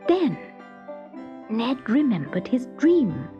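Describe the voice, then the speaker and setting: A woman narrating a children's story from a script in a measured, expressive storytelling manner. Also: fairly high pitch, lo-fi sound, soft background music.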